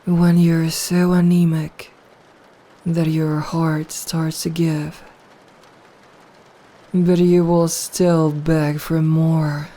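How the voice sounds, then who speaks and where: Woman acting a slow, dark-voiced seductive vampire woman. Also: sits low, flat delivery. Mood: possessive, menacing. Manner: slow, hushed, deliberate, hypnotic. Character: seductive, possessive, dominant female vampire, predatory and controlling. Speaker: ASMR roleplay voice actor. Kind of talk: slow, intimate ASMR roleplay.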